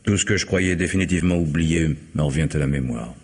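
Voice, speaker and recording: An actor playing a bitter, gruff man who drinks too much and is on the run, in an old radio drama. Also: rumbling low, quick pace, a little mellow. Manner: bitter and gruff, later giddy and tipsy. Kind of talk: 1960s French radio drama acting. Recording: hissy old radio recording.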